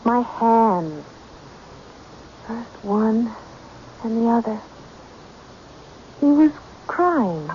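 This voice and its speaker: A young servant girl in a radio play, dreamy and devoted yet guarded. She speaks slowly in a soft-edged, fairly high voice. The recording is dull and old. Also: very animated, exaggerated voice. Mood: tender, earnest. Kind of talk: radio drama acting. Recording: dull, noisy vintage radio drama recording.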